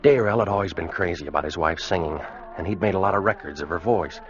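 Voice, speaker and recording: A man's voice: sits low, close to an everyday voice. A man playing a weakened, fearful American sailor, giving a grave, haunted first-person account in a radio drama. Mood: haunted, tense. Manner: grave, haunted, measured storytelling. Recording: muffled vintage radio recording, music behind. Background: dramatic music.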